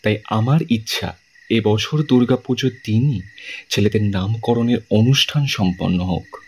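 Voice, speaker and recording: Man playing a low-voiced dignified village landlord. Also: normal speed, a little mellow, slight background noise, natural voice. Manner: formal, courteous. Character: dignified, courteous male village landlord, new father. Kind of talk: audio drama acting.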